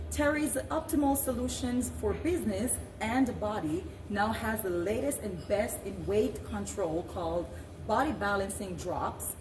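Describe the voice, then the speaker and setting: A woman hosting a talk show in an announcing, presenter-like manner. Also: medium pitch. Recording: roomy echo, distant mic, clear background noise.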